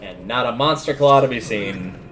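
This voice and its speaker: A man's voice: medium pitch, normal speed. A man giving casual livestream commentary while playing a video game, rambling a bit as he thinks aloud. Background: video game music.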